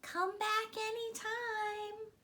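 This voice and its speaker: A woman voicing a friendly, hopeful female moon in a children's storybook, with gushing, drawn-out delivery. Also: very high-pitched, monotone, pushed and bright, voice pushed up, heavily acted. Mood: fond.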